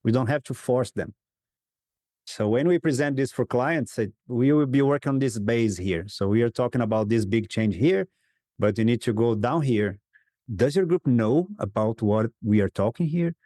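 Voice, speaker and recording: A man's voice: medium pitch, normal speed, warm tone, dry recording, clean studio sound. A man, a guest facilitator in a conversational interview, earnestly telling stories and acting out his clients' replies, laughing often.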